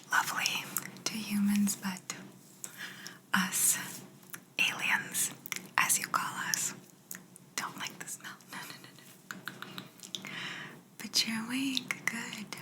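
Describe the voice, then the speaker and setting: Woman doing a whispery alien lady for asmr, slow and soothing, curious about humans, bit teasing, lots of gentle reassurance. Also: a little high, low-quality recording. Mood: gentle, wry.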